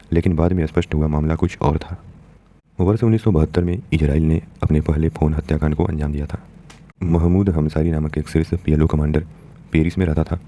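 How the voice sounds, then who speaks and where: A man's voice: a bass voice, mellow. An Indian man presenting a news explainer, reading out and explaining an editorial in a didactic way, addressing listeners directly.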